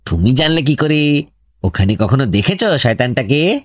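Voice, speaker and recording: An actor playing a pushy, impatient investigator in a comic radio drama, questioning insistently and self-importantly with a West Bengal accent. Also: male voice, mid-range voice, big pitch swings, normal speed, dry recording, studio quality. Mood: eager. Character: pushy, impatient male investigator grilling a villager. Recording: music behind the voice.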